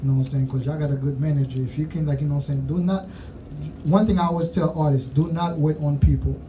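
A man's voice: fairly high pitch, steady tone, quick pace, mellow, recorded from a distance, low-quality recording. A man with a Haitian accent interviewing musicians in casual, rambling chat, full of "you know what I'm saying", recorded off-mic with faint noise behind.

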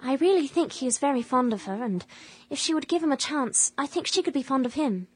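High, spirited young Victorian lady. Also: animated, fairly quick, no room sound. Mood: gently scheming. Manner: refined, earnest, emphatic. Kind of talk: radio drama acting.

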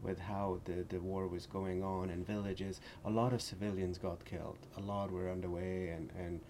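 A man in his forties from Afghanistan, speaking as an interview guest in an earnest, halting way with many restarts. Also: low-pitched, flat delivery, darkish tone, a little hiss or hum. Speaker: shop owner, guest. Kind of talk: interview conversation.

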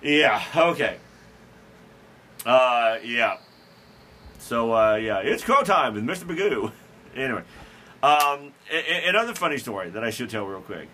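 A man hosts a casual podcast and tells a long, self-deprecating story about himself. His voice is bright and forward at an average pitch. He rambles, restarts his sentences and chuckles as he goes. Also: lively intonation, measured pace, pauses often, a little hiss or hum. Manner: frequent restarts and fillers. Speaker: podcast host.

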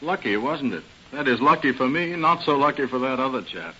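An actor playing a smooth young playboy posing as a butler, glib and polite in radio-drama style. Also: male voice, medium pitch, expressive, brisk, pushed and bright. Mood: breezy. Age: young adult. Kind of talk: 1930s radio drama acting. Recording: hissy, muffled old radio recording.

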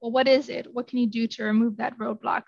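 Flat-toned woman guest on a dull line. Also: fairly high pitch, brisk, gravelly, no echo. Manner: earnest, hedges with "I think". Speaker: interview guest, genetic counselor. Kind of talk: casual interview answers.

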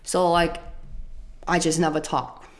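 Low-voiced woman recalling her childhood. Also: level tone, slow pace. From United States. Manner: soft-spoken, hesitant, many fillers. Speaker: interviewee. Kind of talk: personal life story interview.

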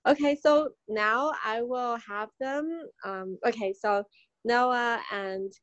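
A woman's voice: a little high, takes it slowly, no echo. Woman podcast host, dry muffled recording. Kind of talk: introducing guests on a podcast.

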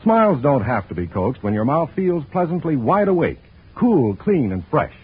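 Tinny old radio, guy announcer selling stuff, raspy husky voice, really animated delivery. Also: a little high, moderate pace. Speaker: announcer and sponsor's pitchman. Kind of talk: 1940s radio announcing and advertising, scripted. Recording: thin, narrow old radio recording.

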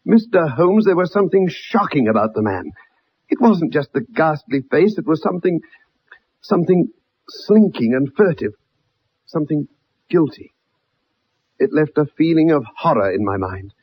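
Earnest, husky young ex-soldier. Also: male voice, fairly high pitch, lively intonation, normal speed, pauses often. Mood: uneasy. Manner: earnest, formal, dramatic storytelling. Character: earnest, dogged young ex-soldier telling a detective his story. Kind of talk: 1960s radio drama acting. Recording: muffled old radio broadcast.

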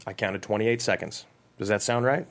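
A man's voice: fairly low pitch, moderate pace, natural voice. Pointed, sardonic lawyer, played by a man. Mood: probing, accusing. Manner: pointed, probing. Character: pressing, sardonic male plaintiff's lawyer. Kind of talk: table read of a legal drama.

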